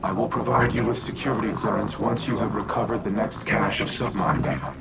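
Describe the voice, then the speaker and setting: Gravelly, grave war-machine AI. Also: male voice, far from the mic, over a noisy crowd. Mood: measured, grave. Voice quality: deep, gravelly. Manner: formal, measured, deliberate. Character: male war-machine artificial intelligence, grave, calculating, self-doubting. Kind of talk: scripted video game dialogue.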